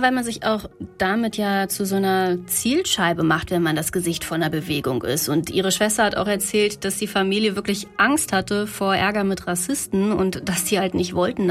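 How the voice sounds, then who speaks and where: A woman, speaking as a podcast guest who explains her research in a conversational way. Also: mid-range voice.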